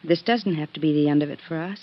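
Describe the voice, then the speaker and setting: An actress playing a cool, greedy, commanding femme fatale in a 1950s radio detective drama. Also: female voice, low-pitched, quick pace, natural voice. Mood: insistent. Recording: muffled vintage radio recording.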